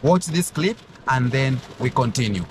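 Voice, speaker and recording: A man's voice: mid-range voice, lively intonation, normal speed. A man from Kenya hosting his own channel, speaking earnestly to camera from a borrowed room and repeating his points with emphasis. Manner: earnest, repetitive, emphatic declarations. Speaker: YouTube commentator. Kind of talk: direct-to-camera commentary. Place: borrowed room, not his usual office.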